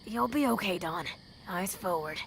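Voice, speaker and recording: A heavily creaky, world-weary ghoul woman, played by an actress in a post-apocalyptic audio drama. She is blunt and protective, giving earnest counsel like a seasoned drifter. There is a faint noise behind her. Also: fairly low pitch, expressive, measured pace. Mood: resigned, reassuring. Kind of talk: fan audio drama acting.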